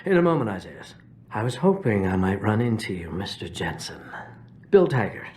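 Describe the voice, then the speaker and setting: An actor playing a self-important political activist leader in a video game, polite, measured and oratorical. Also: male voice, fairly low pitch, big pitch swings, a little mellow. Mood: courteous, smug. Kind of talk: video game cutscene acting.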